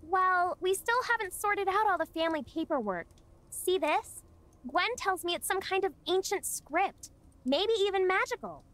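A woman's voice: very high voice, expressive, normal speed, fairly bright tone. A woman voicing a sweet, earnest young girl in a video game, polite and a little hesitant. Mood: earnest, apologetic. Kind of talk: video game character acting.

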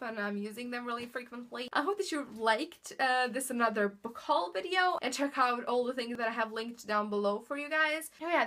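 Chatty young female book vlogger, Bosnian accent, slightly high voice, filler-heavy casual talk to camera at home. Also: fairly bright tone. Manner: chatty, upbeat, many fillers and "really". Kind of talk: casual chat. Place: indoor room in front of bookshelves. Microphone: camera in front of her.

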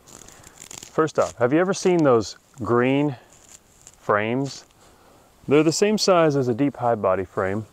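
A man's voice: mid-range voice, no room sound. A middle-aged man explaining beekeeping chores outdoors in a wooded yard, talking plainly and practically, recorded by a camera at medium distance. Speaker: beekeeping channel host. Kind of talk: outdoor how-to demonstration.

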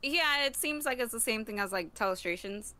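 A woman from New York, calmly explaining a board game in casual chat. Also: a little high, fairly quick.

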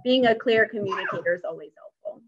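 A woman's voice: mid-range voice, fast, husky. An American woman in her thirties explaining her work as a panelist on a video call. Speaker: panelist, mediator. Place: indoors, home dining room. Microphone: video call computer microphone. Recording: dull webcam video-call audio.

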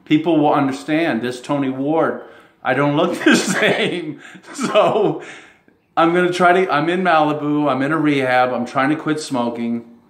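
A man talking casually to his fans in a rambling, halting way, chuckling between phrases. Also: fairly high pitch, fairly even intonation, a bit bright. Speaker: self-described model. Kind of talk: casual monologue to camera. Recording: roomy echo.

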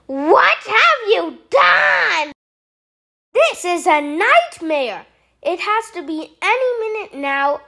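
A woman's voice: high voice, bright, forceful tone, exaggerated voice. A woman voicing a fussy, tidy frog who is dramatic and easily horrified, in a theatrical children's storybook read-aloud. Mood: exasperated, then anxious. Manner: theatrical, exclaiming.

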